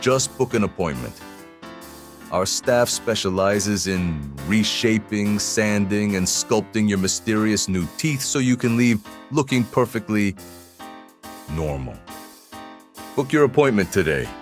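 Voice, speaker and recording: A man's voice: lowish voice, animated, slow. A man playing a reassuring, slightly sinister announcer in a spoof radio advert, with polished salesman delivery. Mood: reassuring, sly. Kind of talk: scripted radio advert parody. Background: faint music.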